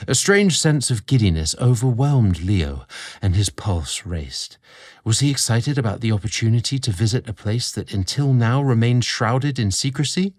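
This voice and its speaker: A man narrating a scripted romantic story in a dramatic, expressive storytelling manner. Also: mid-range voice, normal speed.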